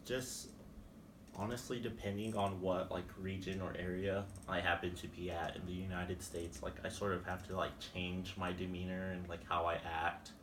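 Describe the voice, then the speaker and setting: A man's voice: sits low, level tone, moderate pace, a bit bright. A young man, a native Spanish speaker with a Mexican Spanish accent, answering interview questions casually and hesitantly, with lots of "like". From Texas. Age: young adult. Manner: rambling, many "like" fillers, hesitant. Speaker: interviewee, college student. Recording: distant mic, noisy room.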